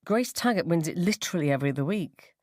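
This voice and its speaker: Female reader as blunt, sardonic schoolgirl's mother for radio story, wide pitch swings, dry sound. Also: animated, quick pace, a little mellow, no room sound. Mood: resentful. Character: wry, blunt, resentful mother of a rebellious daughter.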